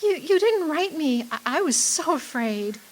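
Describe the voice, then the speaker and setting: A woman's voice: high voice, sing-song, unhurried. A woman acting in a radio drama as an adoring, earnest young sweetheart, romantic and hopeful.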